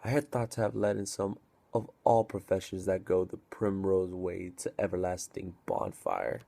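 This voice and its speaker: A grumbling old-world gatekeeper, acted by a man, low and creaky in voice. He is sardonic and exclamatory, mockingly welcoming sinners in a Shakespearean stage monologue. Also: animated, moderate pace, warm tone, exaggerated voice. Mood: mocking, irritated.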